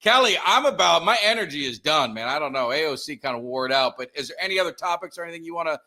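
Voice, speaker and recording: A man's voice: on the high side, lively intonation, quick pace, bright, forceful tone. A middle-aged man hosting a casual live stream, upbeat and keen to plug his channel. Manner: upbeat, plugs the channel often. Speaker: podcast host.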